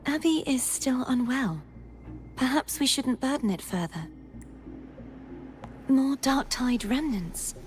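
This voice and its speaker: An actress playing a gentle, earnest young woman in a video game, speaking in a formal, measured, soft way. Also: sits high. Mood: calm, resolved. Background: game music.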